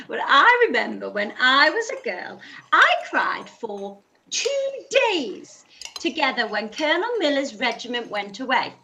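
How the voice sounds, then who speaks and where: A woman's voice: sits high, sing-song, measured pace, bright, forceful tone, a little hiss or hum, heavily acted. A woman playing a fussy, dramatic middle-aged mother in a live-read radio play, gushing and complaining. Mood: fretful.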